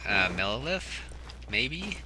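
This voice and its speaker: A man's voice: medium pitch, very animated, takes it slowly, noisy background. A man giving casual let's play commentary, muttering and thinking aloud as a gamer. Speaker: gamer narrating own playthrough. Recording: game music behind the voice. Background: game music.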